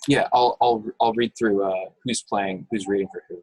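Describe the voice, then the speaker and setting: A man's voice: fairly low pitch, steady tone, moderate pace, darkish tone, breathy edge, no room sound, poor audio. A man reading scripted action descriptions as narrator in an informal, unpolished way on an amateur online table read. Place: video call from a room.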